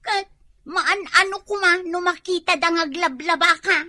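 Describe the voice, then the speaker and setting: An actress playing a bossy, nagging village wife with an Ilocano accent in a comedy radio drama. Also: female voice, extremely high pitch, normal speed, sharp tone, dry recording, studio quality, exaggerated voice. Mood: questioning. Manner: scolding, demanding.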